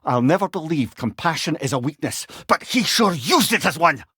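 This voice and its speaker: A learned old Scottish god from a video game, a companion to warriors who tells tales with wry wit. His pitch swings expressively through eloquent, old-fashioned sentences, and the sound is dry and close. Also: big pitch swings, moderate pace, pushed and bright, husky, no echo, full character voice. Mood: indignant, reflective. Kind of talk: video game character dialogue, storytelling banter.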